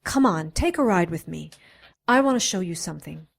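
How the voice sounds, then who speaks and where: A woman's voice: on the low side, lively intonation, fairly quick, gravelly. Actress as confident, teasing woman scientist-sorceress. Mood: coaxing. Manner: flirtatious, persuasive, self-assured. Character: confident, teasing female doctor of magic and science. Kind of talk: radio drama dialogue.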